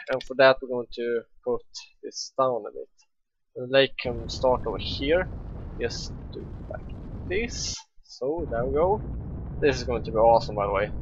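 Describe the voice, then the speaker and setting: Excitable Swedish-accented young man. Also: medium pitch, lo-fi sound. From Sweden. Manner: chatty, excitable, swears freely. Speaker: let's play gamer. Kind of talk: casual gameplay commentary.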